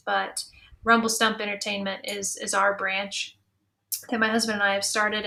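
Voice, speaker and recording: A woman's voice: medium pitch, vocal fry, a bit bright. A woman from New Mexico speaking earnestly and conversationally as a panelist. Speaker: panelist, audio drama producer. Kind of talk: casual panel talk.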